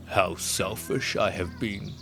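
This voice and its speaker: A man voicing a gruff, selfish but soft-hearted giant from a storybook, with music behind him. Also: fairly even intonation, slow pace. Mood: remorseful. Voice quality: great booming voice. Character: gruff selfish male giant, booming, later tender-hearted. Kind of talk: storybook character acting.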